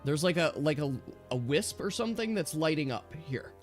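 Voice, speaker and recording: A man's voice: on the high side, expressive, moderate pace. A man running an online tabletop role-playing game, explaining the action step by step as game master. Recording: music behind the voice. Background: music.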